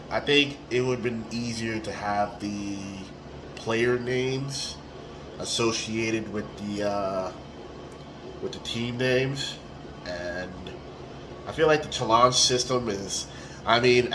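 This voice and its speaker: A man in his forties with a hoarse, raspy voice, talking casually into a phone held at arm's length in a small room, with plenty of ums and restarts. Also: fairly low pitch, noisy background. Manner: relaxed and rambling, with many ums and restarts. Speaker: tournament organizer. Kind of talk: casual selfie video recap.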